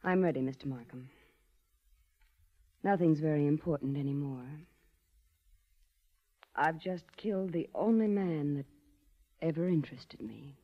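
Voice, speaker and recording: Cool femme fatale, old radio sound. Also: female voice, deep voice, a little slow, long pauses. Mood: resigned, bitter. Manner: poised, dramatic. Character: elegant, cool, dangerous femme fatale murderess. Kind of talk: 1940s radio acting. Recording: dull old radio recording.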